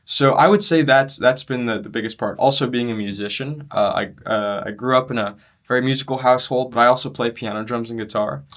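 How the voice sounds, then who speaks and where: A young man in a conversational interview, explaining his loudspeaker design work earnestly and in detail. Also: mid-range voice, fairly quick, hardly any pauses. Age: young adult. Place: demo room at an audio show.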